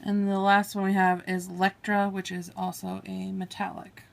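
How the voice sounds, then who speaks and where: A woman's voice: mid-range voice, monotone, moderate pace, vocal fry. A woman vlogger chatting casually through a makeup haul, swatching shades on her arm and apologizing for mispronouncing names. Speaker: beauty vlogger. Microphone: camera on a table, filming her hands.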